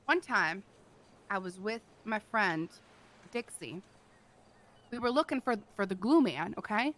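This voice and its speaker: A woman's voice: mid-range voice, animated, unhurried, a bit bright. A woman improvising casual roleplay chat with emphatic, playful storytelling. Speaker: roleplay game player.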